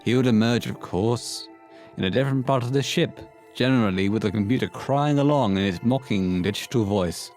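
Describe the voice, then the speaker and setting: A man's voice: medium pitch, a little fast, darkish tone, soft background music. A man reading scripted narration as the storyteller of an audio horror play.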